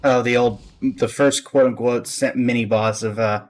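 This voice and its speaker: Wry game streamer with a mid-pitched voice. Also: steady tone, moderate pace. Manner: wry, offhand. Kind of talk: casual reaction commentary.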